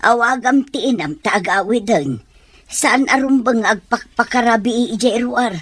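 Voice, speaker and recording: A high-voiced, worried old grandmother. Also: normal speed, a bit bright, slightly rough, dry recording. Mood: loving, concerned. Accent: Ilocano. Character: worried elderly grandmother, loving and sorrowful. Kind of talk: Ilocano radio drama acting.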